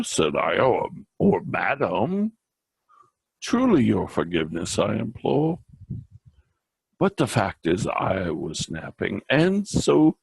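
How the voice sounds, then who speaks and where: A man giving a slow, theatrical poetry recitation in a gravelly, husky voice, with deliberate pauses. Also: fairly low pitch, dry recording. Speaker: reader and presenter.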